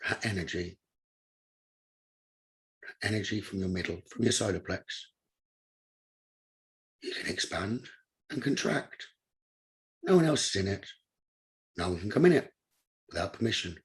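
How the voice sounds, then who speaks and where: A man leading a guided meditation as a teacher, with a husky voice, speaking in calm, instructive short phrases with pauses. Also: low-pitched.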